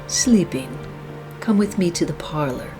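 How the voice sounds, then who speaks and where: A woman's voice: on the low side, expressive, unhurried, music in the background. An actress playing a curt, wealthy married lady in a noir radio play. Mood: cool.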